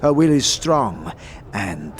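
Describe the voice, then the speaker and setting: An actor playing a measured, scholarly companion in a fantasy audio drama, speaking with grave, deliberate precision. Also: male voice, fairly low pitch, big pitch swings, slight background noise.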